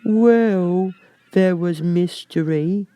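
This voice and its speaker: A man voicing a mournful, self-pitying old turtle creature in a storybook reading, with drawn-out, sighing, doleful delivery. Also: high voice, mellow, clean tone, dry recording, voice pushed up. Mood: wistful. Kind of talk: storybook character voice.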